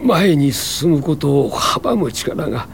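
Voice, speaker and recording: An actor playing a sickly, earnest tuberculosis patient in a radio drama, plain-spoken, halting and short of breath. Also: male voice, fairly high pitch, very animated, gravelly, slight background noise, full character voice. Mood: anguished. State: ill, coughing, breathless.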